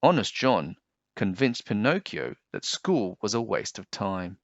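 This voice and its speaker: Deep, animated male storybook narrator. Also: measured pace, vocal fry, no echo, highs cut off. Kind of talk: expressive children's read-aloud narration.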